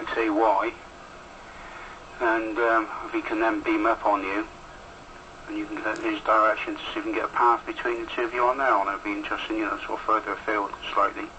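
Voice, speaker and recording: Casting: male radio ham, low monotone voice, rambling and matter-of-fact, coming through a two-way radio. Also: dull audio. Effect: heard over a two-way radio. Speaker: amateur radio operator. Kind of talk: casual radio contact chat. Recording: noisy two-way radio transmission through a speaker.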